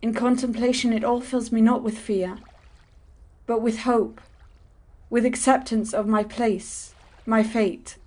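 A devout young man who yearns for a holy vision, played by a woman. His voice sits fairly high and moves slowly and deliberately, with earnest, old-fashioned phrasing. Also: steady tone, a little mellow. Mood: serene. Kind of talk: archaic dramatic dialogue.

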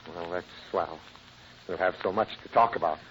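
A man's voice: deep voice, fairly quick, fairly bright tone. A man playing a sheepish, flustered middle-aged husband and father with hesitant delivery in an old radio comedy. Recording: dull, noisy old radio recording.

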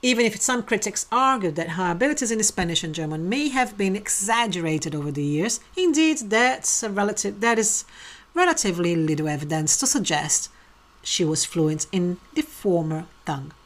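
A woman presenting a program in a medium-pitched voice. She narrates and welcomes her guests, and her voice lifts upward on words she wants to stress. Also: lively intonation, moderate pace. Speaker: host.

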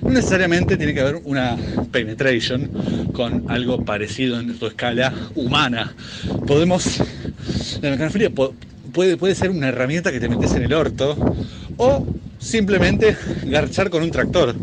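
Argentine man reporting over loud street noise. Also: medium pitch, animated, normal speed. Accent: Buenos Aires Argentine. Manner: talking while riding a bicycle. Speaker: roving correspondent. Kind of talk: rambling comedic voice report. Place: outdoors on a bicycle in the street. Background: loud construction and vehicle noise.

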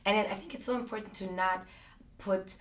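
A woman, a guest in an interview, speaking earnestly and reflectively, often pausing on fillers. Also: medium pitch, fairly even intonation, distant mic, a crowd in the background. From Austria. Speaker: guest, business founder. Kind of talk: conversational interview.

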